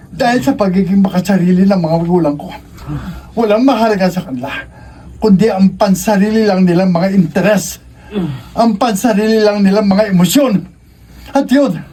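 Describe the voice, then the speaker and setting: An actor playing a rebellious, domineering young college student in a radio drama, with a cocky, slangy manner. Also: male voice, high-pitched, mellow, slightly rough. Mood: angry, bitter.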